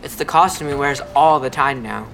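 A woman's voice: extremely low pitch, normal speed, vocal fry, fairly bright tone, a little hiss or hum, exaggerated voice. A woman acting in an audio drama as a friendly, frank twelve-year-old boy who talks in a casual, matter-of-fact way. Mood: helpful, matter-of-fact.

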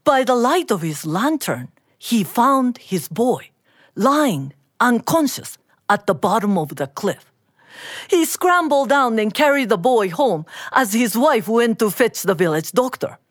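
Woman, high voice, very lively intonation, dramatic oral storytelling. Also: dry recording, studio quality. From Japan. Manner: expressive, deliberate, dramatic. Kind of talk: live oral storytelling of a folktale.

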